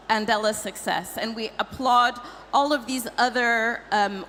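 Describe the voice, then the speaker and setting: A woman from Cameroon speaks on a conference panel in a bright, forceful voice. She is emphatic and often repeats her words. The sound carries the reverb of a large hall with faint noise behind it. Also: on the high side. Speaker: panelist, tech advisor. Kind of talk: panel discussion. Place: conference stage.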